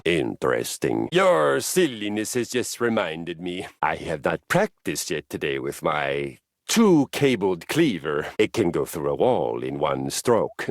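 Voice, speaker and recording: Game role: lusty, combative Viking warrior, pompous archaic speech, deliberate pace, very dry close recording. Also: male voice, low voice, no echo, exaggerated voice. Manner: grandiose, theatrical, archaic phrasing. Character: boastful, violent, lusty Viking warrior, personified exercise machine. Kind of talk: video game character acting.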